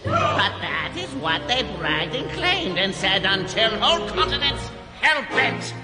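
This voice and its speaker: An actor playing a mysterious, grandly macabre guide to young boys in a dramatized audiobook, declaiming like an incantation. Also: male voice, sits high, very animated, measured pace. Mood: grand, incantatory. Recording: loud music under the voice.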